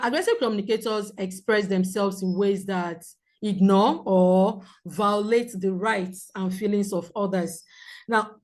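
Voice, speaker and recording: A woman counsellor with a loud voice, teaching a group in a clear, measured way with examples. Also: medium pitch. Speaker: premarital counsellor. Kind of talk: teaching a seminar talk.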